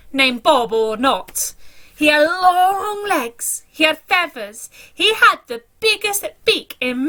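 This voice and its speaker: A woman's voice: high-pitched, sharp tone. A woman reading a children's story aloud in a dramatic, theatrical storytelling manner.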